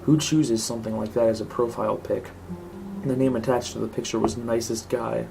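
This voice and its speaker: A man's voice: mid-range voice, steady tone, fairly quick. A man reading a scripted horror story aloud as narrator. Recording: clearly noisy home recording.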